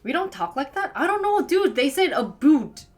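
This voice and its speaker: A woman with a Wisconsin accent from the United States, chatting casually with viewers as a live streamer and firing quick questions back at them. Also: high-pitched, very animated, faint music behind. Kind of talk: casual chat answering viewers.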